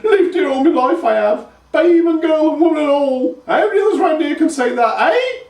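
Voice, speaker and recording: Male reader putting on a shrill, shouting old peasant granny, toothless and cranky, echoey and distant. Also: expressive, moderate pace. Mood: doting proud. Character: screeching toothless old peasant grandmother, cantankerous. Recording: echoey room, voice from afar.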